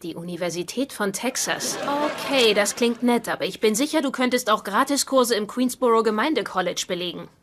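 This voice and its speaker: Practical, slightly high-voiced sitcom woman, acted. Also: expressive, a little fast, hardly any pauses, close to an everyday voice. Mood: exasperated, practical. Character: practical, exasperated adult daughter and wife. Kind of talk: German-dubbed sitcom acting. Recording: noisy track, clicks.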